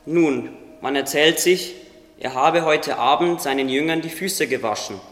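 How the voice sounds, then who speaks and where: A man's voice: on the high side, measured pace, fairly bright tone. A man playing a wise, modest winged creature who encourages a friend, earnest and instructive, in a religious radio play. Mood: reverent, teaching. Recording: echoey, long reverb, noisy ambience.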